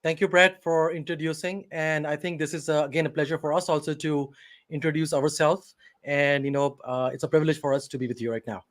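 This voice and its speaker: A man's voice: a little high, fairly even intonation, brisk. Hesitant man from Pakistan, filler-heavy. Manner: hesitant, many fillers and restarts. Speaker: company CEO, guest. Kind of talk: interview answers.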